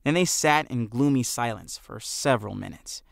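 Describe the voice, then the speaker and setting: A man's voice: mid-range voice, normal speed, gravelly, no room sound. A man narrating a story expressively as an audiobook reader, close on a studio microphone.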